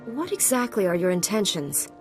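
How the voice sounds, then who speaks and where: A woman's voice: mid-range voice, big pitch swings, moderate pace, a little mellow, faint music behind, close to an everyday voice. An actress playing an earnest, principled young woman knight in a video game, composed in manner. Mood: resolute.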